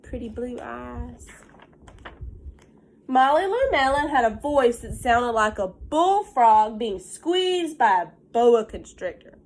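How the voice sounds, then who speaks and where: A woman with a fairly high voice speaks as an elementary teacher to young children. Her pace is unhurried, and she sounds slightly stuffed up. She reads aloud and explains in simple words, often checking that the listeners follow. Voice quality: congested from pollen.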